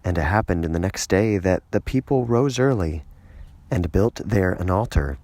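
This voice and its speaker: Low-voiced man reading scripture. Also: animated, moderate pace, darkish tone, no room sound. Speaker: scripture reader. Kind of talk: scripted Bible narration.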